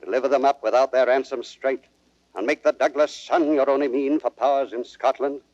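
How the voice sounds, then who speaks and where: Guy playing plotting noble, measured, mid voice, dull old record, dry. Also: mid-range voice, fairly even intonation, a little mellow, no echo, lightly acted. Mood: conspiratorial. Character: scheming, measured nobleman plotting against the king. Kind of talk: old radio-style Shakespearean acting.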